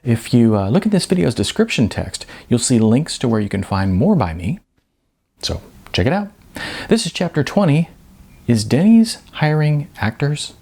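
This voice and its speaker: A man's voice: mid-range voice, sing-song, moderate pace, gravelly, mellow, husky. A man narrating his own novel as an audiobook, reading fiction as its author.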